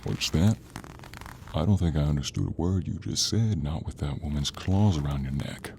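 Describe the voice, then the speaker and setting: A man playing a gruff, stern but protective monster hunter in an audio roleplay, with a gravelly, murmuring delivery. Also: lively intonation, normal speed, mellow, a little hiss or hum, full character voice. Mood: stern, menacing. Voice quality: gravelly, very low, creaky. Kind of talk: audio roleplay acting addressed to the listener.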